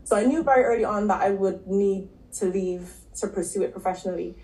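A woman from Barbados, a podcast guest and health coach, telling her story in a relaxed, casual way with frequent ums and likes. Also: medium pitch, fairly quick. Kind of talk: casual interview talk. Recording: echoey room.

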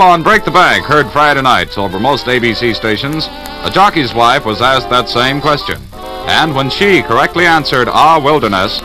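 A man's voice: mid-range voice, poor audio. An American man reading a polished, promotional script as a radio announcer on a vintage 1940s broadcast.

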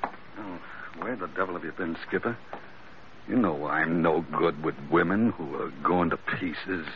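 An actor playing a gruff, grumbling sailor sidekick in an old radio drama, blunt and colloquial. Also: male voice, sits low, measured pace, background noise. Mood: exasperated. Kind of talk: 1940s-50s radio drama acting. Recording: tinny, narrow-band old radio recording.

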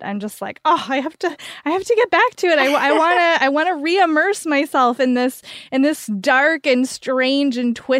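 A woman's voice: on the high side, dry recording, clean studio sound. A woman co-hosting a book podcast, giving recommendations in a casual, enthusiastic and emphatic way.

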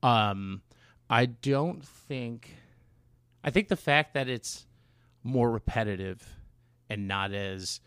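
A man speaking as a podcast co-host in casual chat, explaining things in a halting, rambling way. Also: mid-range voice, a bit bright, clean tone, no echo, studio quality. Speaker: podcast co-host and songwriting musician.

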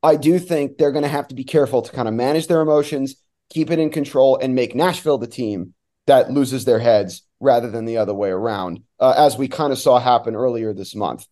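A man who works as a sports announcer, giving casual, somewhat halting analysis as a guest on a talk show. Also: medium pitch, warm tone.

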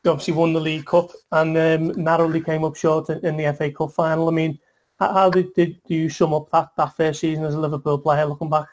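A male interviewer speaks quickly in a flat, slightly raised voice. His long questions are full of restarts and stumbles. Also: fairly high pitch. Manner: rambling questions with many restarts.

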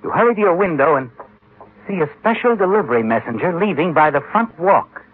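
A man giving measured, suspenseful narration in a vintage radio drama style. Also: fairly high pitch, lively intonation, background noise. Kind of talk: dramatic second-person 1940s-50s radio narration. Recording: tinny old radio recording with faint music.

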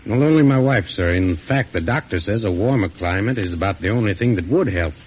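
An actor playing a humble, earnest young war veteran in an old-time radio drama, speaking politely and respectfully. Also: male voice, lowish voice, expressive, fairly quick, natural voice. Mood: earnest, subdued. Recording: muffled, noisy vintage radio recording.

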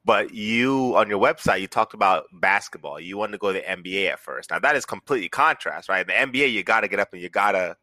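Bright, forceful low-voiced male podcast host. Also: lively intonation, fast talker, few pauses, dry recording. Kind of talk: casual conversational interviewing.

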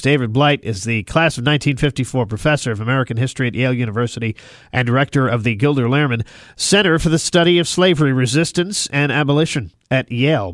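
A man's voice: mid-range voice, fairly bright tone, no room sound. A man with a mid-range voice hosts an interview show about books. He delivers scripted introductions and questions, recorded dry with hardly any room sound. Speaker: radio host interviewing authors.

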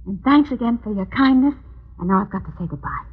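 A proud, betrayed wife in an old radio thriller. Her voice is husky and fairly high, swinging widely with insistent, theatrical emphasis. The sound is dull and lo-fi. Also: lively intonation, fast. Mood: brisk, dismissive. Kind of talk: old-time radio melodrama acting.

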